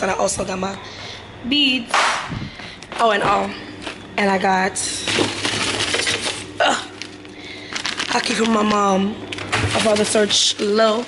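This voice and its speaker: A young woman, vlogging casually to camera at home, rambling with slang and fillers. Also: medium pitch. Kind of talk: casual vlog chat to camera. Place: home while traveling. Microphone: phone or camera mic with ring light. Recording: noisy ambient background.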